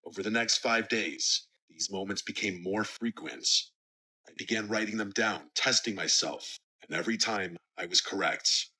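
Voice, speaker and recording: Very bright, forceful low American male narrator. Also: breathy edge, rough recording. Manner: measured, serious storytelling. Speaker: horror story narrator. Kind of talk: scripted narration of scary first-person stories.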